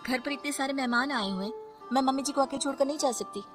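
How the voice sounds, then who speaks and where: High-voiced young daughter-in-law, acted by a woman. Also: fast talker, natural voice. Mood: explaining. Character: cheerful, devoted young daughter-in-law and wife. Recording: music under the voice.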